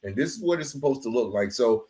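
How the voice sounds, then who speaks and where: A man hosts a casual podcast conversation in a medium-pitched voice. His talk is informal and earnest, sprinkled with "man" and "bro" as he speaks to his guest and listeners. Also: animated, quick pace, mellow. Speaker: podcast host.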